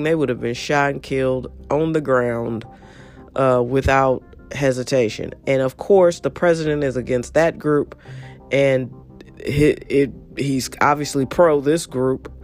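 Husky, congested woman host ranting. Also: very deep voice. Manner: blunt, animated, swears casually. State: nasal congestion. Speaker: show host. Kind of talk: opinionated solo monologue.

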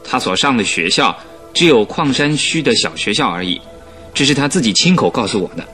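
An actor in a radio drama playing a vain young English aristocrat, affected and pompous but good-natured underneath. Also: male voice, mid-range voice, animated, fairly quick, pushed and bright, soft background music. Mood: earnest, pompous. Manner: affected, pompous, easily flustered. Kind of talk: Chinese radio drama acting.

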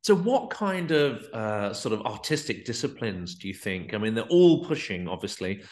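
Man, interviewer, low voice, measured hesitant questions. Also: big pitch swings, darkish tone. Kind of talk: conversational interview.

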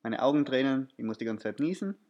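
Ein junger Mann mit österreichischem Akzent, der als Co-Host eines Podcasts locker plaudert, oft stockt und neu ansetzt und gerade etwas verschnupft ist. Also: male voice, medium pitch, expressive, fast, muffled recording. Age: young adult. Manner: rambling, stumbles and restarts often. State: stuffy nose from allergy. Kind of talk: casual chat.

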